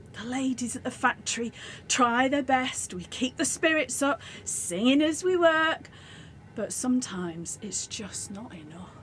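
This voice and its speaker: Radio play: anxious young 1940s factory worker, played by a woman, subdued diary reading, very slow, light background noise. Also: a little high. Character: worried young wartime factory girl missing her father.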